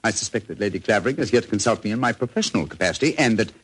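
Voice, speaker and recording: Casting: precise, proud English sleuth, rapid delivery, slightly husky, old radio drama. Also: male voice, fairly low pitch, lively intonation. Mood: brisk, wry. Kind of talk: 1940s radio acting.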